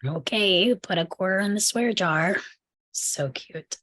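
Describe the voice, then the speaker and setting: A woman's voice: medium pitch, takes it slowly, fairly bright tone. A woman playing a falsely cheerful, competitive socialite stepmother in a screenplay table read, sugary and politely needling. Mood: breezy cheer.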